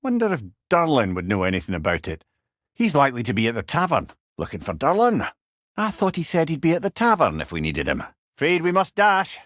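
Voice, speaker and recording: A man's voice: a little high, wildly swooping pitch, brisk, a bit bright, dry recording, clean studio sound. A man voicing a wise, witty old Scottish advisor in a video game, with a wry, eloquent and rueful manner. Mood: advising. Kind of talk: video game character dialogue.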